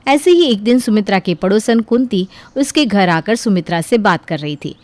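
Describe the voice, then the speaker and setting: A woman's voice: mid-range voice, animated, moderate pace, a little mellow, no echo. A woman narrator reading a scripted story.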